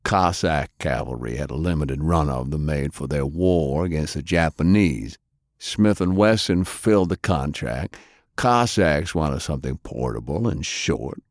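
A man acts out a hulking, plain-spoken war veteran in a pulp audiobook. The voice is very deep and gruff, with terse, folksy delivery. The sound is close and dry. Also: a little mellow, no echo, full character voice. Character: big gruff plain-spoken country-bred American war veteran detective.

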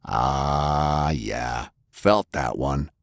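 A man voicing a boastful, menacing brute with a gruff, low growl, in an audiobook. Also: extremely slow, no room sound, studio quality, full character voice. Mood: grunting. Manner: sneering, boastful. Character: brutish, taunting undead-tough brother, boastful and menacing. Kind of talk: audiobook character acting.

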